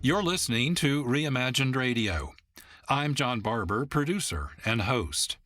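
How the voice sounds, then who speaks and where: A man's voice: medium pitch, lively intonation, unhurried, a bit bright, faint music behind. A man hosting a radio program in scripted narration. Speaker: producer and host.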